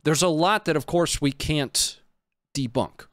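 A man's voice: fairly high pitch, unhurried, fairly bright tone, no room sound. Man with a close, dry studio sound. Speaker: live stream host and analyst. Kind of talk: casual live commentary on footage. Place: small indoor studio. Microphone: close desk microphone on boom arm.

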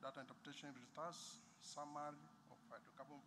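A man from Tanzania opening a conference presentation at a podium, slightly halting. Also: on the high side, flat delivery, background noise. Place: large conference hall. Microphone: podium microphone.

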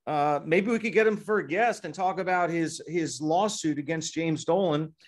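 A man in his fifties co-hosting a casual sports law podcast from a hotel room, talking in a chatty, digressive way and getting animated when he jokes. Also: a little high, normal speed. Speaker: podcast co-host, sports lawyer. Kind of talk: casual legal podcast chat. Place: hotel room in Midtown Manhattan. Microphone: laptop webcam video call.

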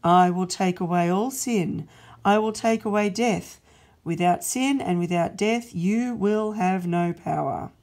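A woman voicing God as a stern, loving father-king who speaks slowly and with great emphasis. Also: mid-range voice, creaky, mellow, slightly rough, exaggerated voice. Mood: commanding. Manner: commanding, slow and emphatic.

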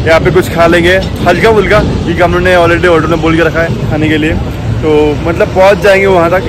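A young man vlogging casually to his viewers from a moving car, filmed on a handheld phone. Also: on the high side. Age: young adult. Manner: chatty, addresses viewers directly. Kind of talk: casual travel vlog chat. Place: inside a moving car, later outdoors by a lake. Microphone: handheld phone camera at arm's length. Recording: clear road and car noise behind.

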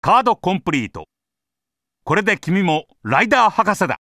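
An actor playing an upbeat heroic mentor who gives energetic, encouraging announcements in a video game. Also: male voice, sits high, fairly quick, no echo, clean studio sound, exaggerated voice. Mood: upbeat, proud. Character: upbeat heroic mentor praising a young card collector.

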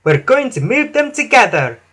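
A man's voice: high voice, animated, measured pace, a bit bright, breathy edge, voice pushed up, exaggerated voice. A man voicing an earnest, proud and fretful female steam engine in a children's storybook. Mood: proud, happy.

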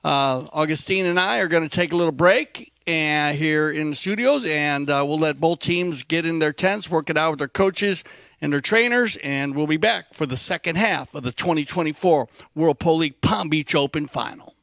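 A man commentating on a polo match from a studio, chatty and halting, often restarting his sentences. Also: on the high side, vocal fry, fairly bright tone, no echo. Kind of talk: live sports commentary. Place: broadcast studio.

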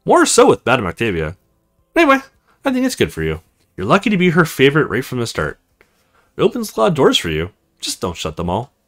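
A man's voice: medium pitch, wildly swooping pitch, fast, fairly bright tone. Man reading as blunt, moody young woman student. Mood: curious, incredulous. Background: music.

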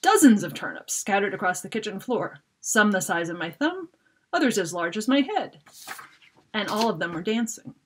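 A middle-aged woman author reading a children's fantasy story aloud in an expressive, dramatic way. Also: mid-range voice, moderate pace, breathy edge. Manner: animated, dramatic reader. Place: book-lined room at home.

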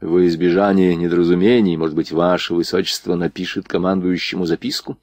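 Dutiful naval lieutenant voiced by a male reader, low, formal and politely firm, for an audiobook. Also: flat delivery, a little fast, darkish tone, no room sound, studio quality. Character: dutiful, dry, reserved young naval lieutenant. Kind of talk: audiobook character dialogue.

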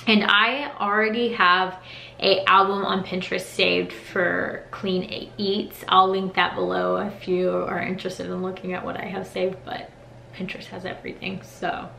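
A young woman in her twenties chatting casually to camera in a small bedroom, relaxed and full of ums and likes. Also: lowish voice, a little slow, creaky, reverberant, a little hiss or hum. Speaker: vlogger. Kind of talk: casual vlog chat to camera. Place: small wood-paneled bedroom, indoors.

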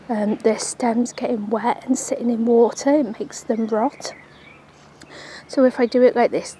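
A woman's voice: a little high, dark tone, a little hiss or hum, rough recording. A woman chatting casually while touring her allotment garden, rambling with frequent ums. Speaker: allotment vlogger. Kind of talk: casual gardening vlog narration. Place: sunny outdoor allotment garden. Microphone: handheld camera, speaker off screen.

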